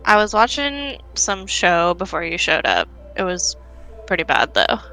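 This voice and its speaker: A woman's voice: on the low side, sing-song, measured pace, pushed and bright, soft background music, heavily acted, poor audio. A woman voicing an aloof, laconic male love interest in a visual novel, offhand and casual. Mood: dry, offhand.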